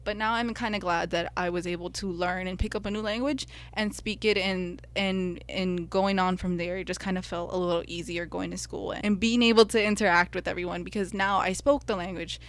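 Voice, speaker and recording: A woman's voice: lowish voice, fairly even intonation, brisk, few pauses. Earnest young woman telling her story. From United States. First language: Spanish. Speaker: podcast co-host. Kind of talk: personal reflective podcast talk.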